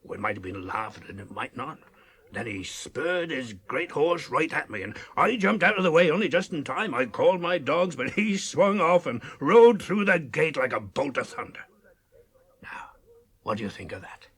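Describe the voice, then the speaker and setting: An actor playing a blustery, hearty old farmer, in a 1980s radio drama. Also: male voice, on the high side, big pitch swings, normal speed, a bit bright, husky, exaggerated voice. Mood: grave, storytelling. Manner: rustic, blustery, chuckling storyteller's delivery. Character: gruff, hearty, protective old country farmer.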